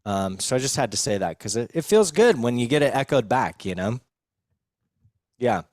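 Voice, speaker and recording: A man's voice: mid-range voice, sing-song, moderate pace, darkish tone, dry recording, clean studio sound. A young American man talking earnestly and informally as a guest in a casual podcast conversation. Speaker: podcast guest, recovery video producer.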